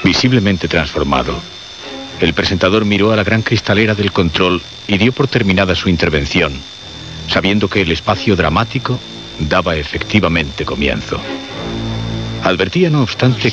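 A man narrating a suspense radio drama in a measured, literary, ominous way. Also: low-pitched, animated, music in the background. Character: sober, ominous male narrator of suspense tale. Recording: dull old radio recording, murmuring background.

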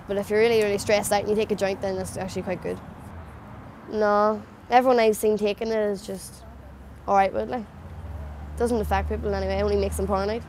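A woman's voice: medium pitch, a little mellow, a little hiss or hum. A young woman with a Northern Irish accent, talking casually and matter-of-factly in a documentary interview. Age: young adult. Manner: casual, matter-of-fact, local slang.

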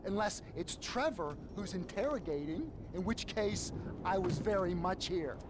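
An actor playing a nervous, eager criminal sidekick in a video game, talking in a jittery, rambling, halting way. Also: male voice, high voice, expressive, noisy background. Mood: wary. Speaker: henchman. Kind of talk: video game cutscene acting.

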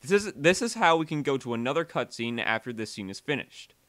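A man narrating a software tutorial voiceover, halting and often repeating or correcting himself as he explains. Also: mid-range voice, sing-song, no room sound.

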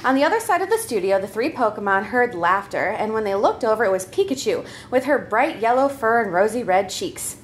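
Animated, high-voiced woman reading a story. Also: fairly quick, few pauses, a little hiss or hum. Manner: playful, giggly, breaks character. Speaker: troupe member reading own comedy story. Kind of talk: live comedic story reading, narration.

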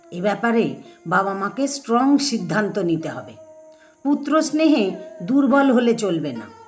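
A woman narrator reading an essay of advice to parents, speaking directly and admonishingly to listeners. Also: on the high side.

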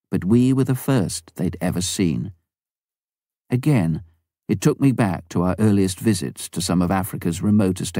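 A man reading a first-person memoir aloud in a measured, even way. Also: low-pitched, no echo, clean studio sound. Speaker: audiobook reader. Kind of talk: scripted memoir narration.

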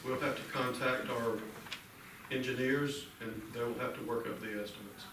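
A man's voice: medium pitch, steady tone, normal speed, a bit bright. A man answering as city manager at a public meeting. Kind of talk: brief meeting response. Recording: echoey room, far mic, background noise.

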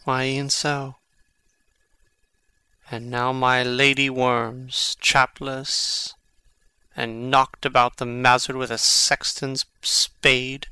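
Young tragic prince acted by a man, Shakespeare audio drama, wry and theatrical, bright forward tone, dry recording. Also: medium pitch, little pitch movement, very slow, clean tone, dry recording, exaggerated voice. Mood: musing, morbid. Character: brooding, sardonic young Danish prince.

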